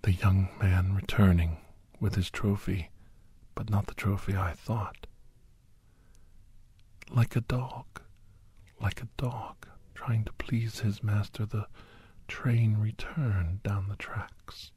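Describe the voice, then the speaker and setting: A man acting as a grave doctor recounts a dark monster tale for ASMR listeners. His voice is a very husky, breathy whisper, close and dry. He moves slowly and deliberately, repeating phrases and leaving pauses. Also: lowish voice, steady tone, dry recording, heavily acted. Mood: hushed, suspenseful. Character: Doctor Andrew Michaels, grave male storyteller recounting a werewolf encounter. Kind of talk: whispered ASMR dramatic first-person storytelling.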